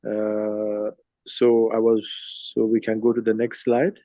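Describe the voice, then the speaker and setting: A man in his fifties from Sri Lanka gives an informal online presentation with slides, speaking haltingly and rephrasing as he goes. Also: lowish voice, monotone, no room sound. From Sri Lanka, later Nigeria. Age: 50s. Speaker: speaker at a cultural talk. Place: online video call. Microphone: phone. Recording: dull lo-fi phone call audio.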